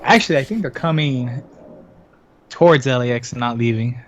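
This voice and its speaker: A man's voice: mid-range voice, moderate pace, slight background noise. A man chatting casually and jokingly as guest co-commentator on a game stream. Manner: joking, giggly. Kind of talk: casual chat. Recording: muffled voice-chat audio, music behind. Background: game music.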